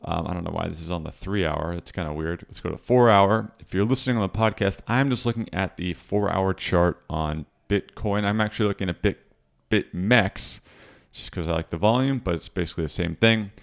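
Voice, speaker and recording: Hoarse guy with a real low voice, loose rambly chat about trading charts, lots of ums. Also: fairly quick. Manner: loose, rambling, many fillers and restarts. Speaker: crypto trading show host. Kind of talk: casual market commentary over charts.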